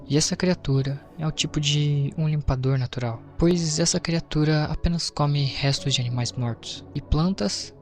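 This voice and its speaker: Brazilian man narrating over faint music. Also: fairly high pitch. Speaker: video narrator. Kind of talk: scripted explainer narration with casual asides. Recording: music behind the voice. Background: background music.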